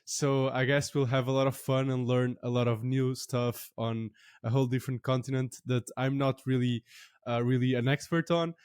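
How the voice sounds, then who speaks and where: A man's voice: medium pitch, fairly even intonation, moderate pace, darkish tone, smooth, no echo, studio quality. A man hosting a podcast, asking long, hesitant questions full of fillers. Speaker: podcast host. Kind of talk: interview questions.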